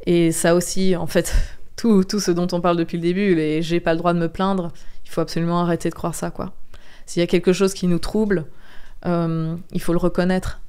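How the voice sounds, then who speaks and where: Smooth-voiced woman, earnest podcast guest. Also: fairly low pitch, flat delivery, normal speed, warm tone. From Belgium. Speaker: interview guest, comic book author. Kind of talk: candid podcast interview testimony.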